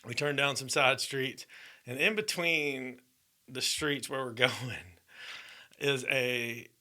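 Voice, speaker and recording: A man's voice: mid-range voice, fairly even intonation, takes it slowly, sharp tone. A man, telling a story in a rambling way with frequent laughs on a podcast. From Georgia, USA. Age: 30s. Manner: rambling, laughs often, restarts. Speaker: podcast co-host. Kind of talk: casual storytelling.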